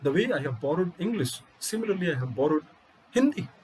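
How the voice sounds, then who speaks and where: A man's voice: on the high side, very animated, fairly quick, a little mellow, slight background noise, poor audio. A middle-aged man with an Indian accent giving an impassioned lecture, firing rhetorical questions and hammering key phrases again and again. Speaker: UPSC coaching teacher.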